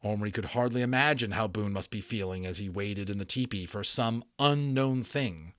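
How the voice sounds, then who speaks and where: A man reading a story aloud as a dramatic, expressive narrator, with casual explanations for listeners. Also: fairly low pitch, moderate pace, no room sound, studio quality. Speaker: audiobook narrator and channel host.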